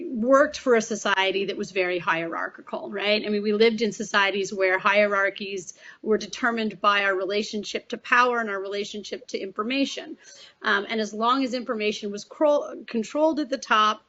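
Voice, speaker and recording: A woman's voice: mid-range voice, pushed and bright. Woman panelist, muffled video call sound. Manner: thoughtful, with restarts. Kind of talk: virtual panel discussion.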